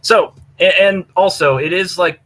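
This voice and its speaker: A man's voice: high voice, unhurried, a bit bright. A man chatting casually and enthusiastically in a rambling way as a podcast co-host, swearing freely as he recommends stories. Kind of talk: casual chat recommending fan fiction.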